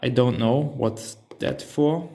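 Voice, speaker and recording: Male hobby reviewer, native German speaker, unhurried and hesitant, talking through a device step by step. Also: medium pitch, creaky, dark tone, slight background noise. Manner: hesitant, thinking aloud. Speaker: reviewer demonstrating a radio transceiver. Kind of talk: unscripted hands-on product walkthrough.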